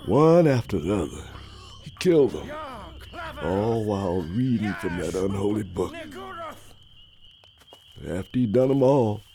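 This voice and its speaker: Deep, haunted Southern storyteller. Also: male voice, lively intonation, unhurried, long pauses, a crowd in the background. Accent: American South. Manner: grave, folksy storytelling with dramatic emphasis. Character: haunted old former servant recounting a horror. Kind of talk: radio drama monologue. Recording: music loud under the voice.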